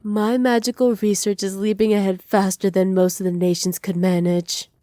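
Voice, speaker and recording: A woman voicing a doting, possessive evil witch in an intimate ASMR roleplay, speaking softly and teasingly, often slipping into breathy whispers. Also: medium pitch, level tone, moderate pace, dry recording, studio quality, natural voice. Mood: smug, satisfied. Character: doting, possessive evil witch pampering her captive pet. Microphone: close microphone, dry.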